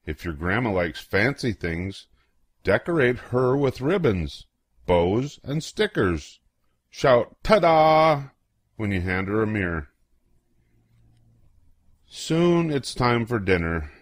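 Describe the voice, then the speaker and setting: Slow, animated older man reading to children. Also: fairly low pitch, no room sound, studio quality. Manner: playful, acts out exclamations and questions. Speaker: children's book reader. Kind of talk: expressive read-aloud of a picture book.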